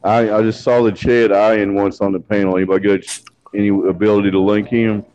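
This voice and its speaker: A man's voice: lowish voice, flat delivery, fairly quick, a little mellow. A man chatting casually in an online group hangout, making jokes and wordplay. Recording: dull lo-fi call audio.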